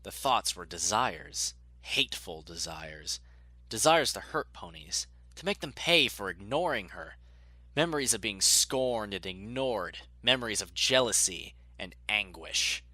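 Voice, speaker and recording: A man gives a dramatic, scripted audiobook narration. His voice is fairly low, with a bright, forceful edge. The sound is dry, with no room to it. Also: lively intonation, a little slow, no room sound.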